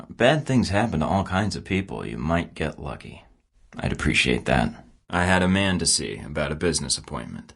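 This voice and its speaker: Flirty sarcastic spy dude from a game, super low husky voice. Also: male voice, fairly bright tone, exaggerated voice. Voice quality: very deep, husky voice. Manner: dry sarcastic quips. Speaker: video game protagonist voice. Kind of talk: game voice lines.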